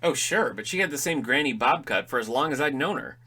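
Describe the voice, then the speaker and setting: A man's voice: mid-range voice, expressive, a little fast, sharp tone, slightly rough. A man plays a middle-aged family dad in a comic audio drama. He grumbles and comments with dry sarcasm, wry and a little uneasy. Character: wry, grumbling, uneasy father exploring an inherited house. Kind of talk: audio drama comedy acting.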